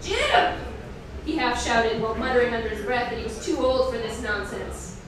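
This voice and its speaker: A woman performing a comedic fan fiction reading on stage, nerdily enthusiastic, mixing mock-dramatic delivery with deadpan, self-deprecating asides. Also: a little high, fast. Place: indoor live comedy reading event before an audience. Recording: roomy echo, distant mic, audience crowd noise. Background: audience laughter.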